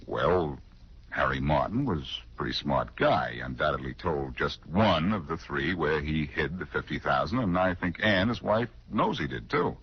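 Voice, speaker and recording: An actor playing a cool, wisecracking amateur detective in 1940s radio crime drama style, glib and teasing. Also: male voice, a bass voice, expressive, normal speed, slight background noise. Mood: explaining, measured. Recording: dull, band-limited 1940s radio recording.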